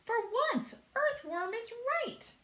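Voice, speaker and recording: Woman doing a rowdy centipede, very high, loud. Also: sing-song, slow, roomy sound, heavily acted. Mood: excited. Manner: shouting. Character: loud excitable male centipede.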